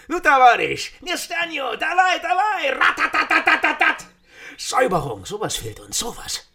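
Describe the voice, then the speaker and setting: An actor playing a bitter, agitated East German agent in a radio drama, volatile and fiercely loyal, ranting and repeating himself. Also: male voice, very high-pitched, wildly swooping pitch, normal speed, vocal fry, sharp tone, exaggerated voice. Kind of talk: naturalistic radio drama acting.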